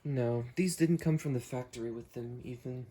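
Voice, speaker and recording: A man's voice: mid-range voice, moderate pace, mellow. A man hosting a casual unboxing video, talking through what he is handling as he goes. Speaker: host unboxing weather radios.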